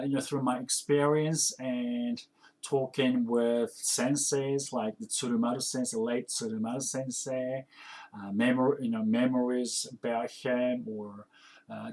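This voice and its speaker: A man in his early forties from Japan, with a Japanese accent touched by New Zealand English, hosting a casual solo podcast in a halting, informal, self-correcting manner. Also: medium pitch, darkish tone. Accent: Japanese with New Zealand influence. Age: early 40s. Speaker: podcast host and kendo instructor.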